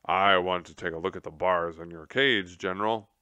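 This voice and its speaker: A man's voice: low-pitched, moderate pace, bright, forceful tone, no room sound, highs cut off. A man voicing a practical, determined male mouse who speaks plainly and steadily. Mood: purposeful.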